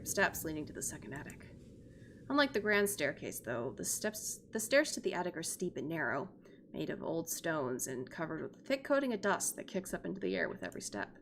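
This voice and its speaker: A woman's voice: low-pitched, fairly bright tone, a little hiss or hum. A woman streamer reading a visual novel aloud, expressively and theatrically, with joking asides. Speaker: streamer, virtual YouTuber.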